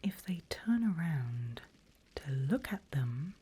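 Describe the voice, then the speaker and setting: A woman playing a seasoned demon mentor in a whispered ASMR roleplay, breathy and deliberate, playfully sinister and teasing. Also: low voice, very animated, dark tone, exaggerated voice. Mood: sinister relish.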